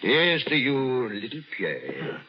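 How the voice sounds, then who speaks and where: A slow, bombastic, belligerent drunkard. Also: male voice, medium pitch, sing-song. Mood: maudlin, grandiose. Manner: bombastic, declamatory, slow and deliberate. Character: drunken, belligerent, theatrical Frenchman, mocking and grandiose. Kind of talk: old-time radio drama acting. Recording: dull old radio recording.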